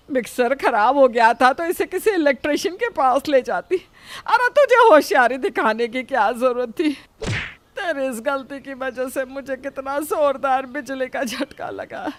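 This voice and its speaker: Woman acting a high-pitched, scolding mother-in-law. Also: lively intonation, measured pace, dry recording. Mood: outraged. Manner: sharp, nagging, exclamatory. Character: scolding, exasperated older Indian mother-in-law.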